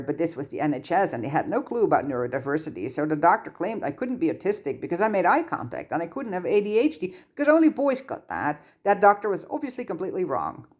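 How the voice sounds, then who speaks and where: A woman voicing an earnest, bossy, talkative teenage schoolgirl know-it-all with an urgent, emphatic manner in a story reading. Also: on the low side.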